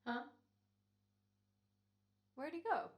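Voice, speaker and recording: A woman's voice: high voice, lively intonation, extremely slow, full character voice. A woman playing a flippant, slangy radio actor who hams it up in an old-time comedy radio play. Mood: casual, curious.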